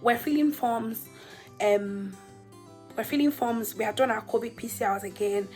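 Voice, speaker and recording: A woman's voice: fairly high pitch, moderate pace, a little mellow, soft background music. A woman with a Nigerian accent talking candidly to her viewers in a vlog, emphatic and direct, often putting rhetorical questions to them. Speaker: senior nurse and vlogger.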